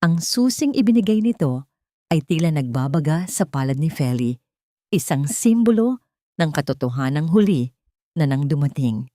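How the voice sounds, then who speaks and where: A Filipino woman narrates a dramatic story in a low voice. The recording is dry and close, and she delivers the scripted lines as a storyteller. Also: big pitch swings, moderate pace, dark tone, no echo.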